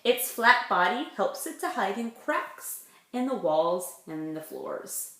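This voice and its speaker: A woman's voice: mid-range voice, sing-song, takes it slowly, a bit bright. A woman, a preschool teacher reading aloud to young children with simple, chatty explanations. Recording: echoey room.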